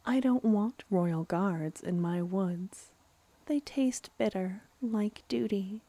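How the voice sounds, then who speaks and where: A woman voicing a playful, clever female vampire in a soft-spoken ASMR fantasy roleplay, teasingly menacing and often near a whisper. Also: mid-range voice. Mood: teasing, reassuring. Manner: unhurried, theatrical, teasing, slipping into whispers.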